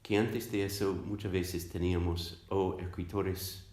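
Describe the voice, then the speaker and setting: A man from the United States whose native language is English gives considered interview answers. His voice sits very low. He searches for words as he explains, and the room adds an audible echo. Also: level tone, measured pace, a little mellow. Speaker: interviewed academic, literature scholar. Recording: roomy, echoing sound.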